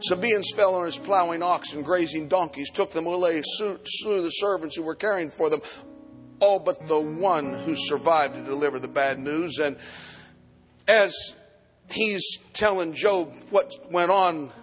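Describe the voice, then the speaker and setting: A man's voice: on the high side, measured pace. A man in his sixties preaching a live sermon as pastor, with rhythmic repeated phrases. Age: 60s. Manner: rhythmic repeated phrases, swells into chanted shouting. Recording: long hall reverb, faint music behind.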